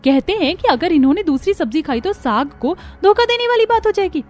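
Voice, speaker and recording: A woman's voice: very high-pitched, lively intonation, brisk, a little mellow, pitched above the performer's own voice. Cartoon daughter-in-law, played by a woman: young, weary, whiny grumbler, faint music bed. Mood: indignant. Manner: whiny, complaining, exasperated. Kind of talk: Hindi cartoon story dialogue.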